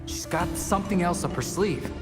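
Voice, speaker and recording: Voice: medium pitch, normal speed, lightly acted. A performer playing a determined, level-headed young vigilante in a video game cutscene. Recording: very loud music behind.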